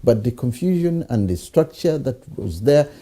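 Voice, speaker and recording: A man leads a conversational interview, his voice dark and mellow with a husky edge. He works toward his questions through hesitations and keeps up a steady stream of agreement while the guest talks. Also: medium pitch, moderate pace. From Uganda. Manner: searching, hesitant, frequent backchannel agreement.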